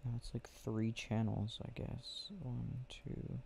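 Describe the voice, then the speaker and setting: Young male ASMR boyfriend, intimate and casual, mellow with a gravelly fry, faint noise and clicking behind. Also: medium pitch, flat delivery. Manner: intimate, unhurried, murmuring to a listener close by. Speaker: ASMR roleplay performer. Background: clicking and game ambience.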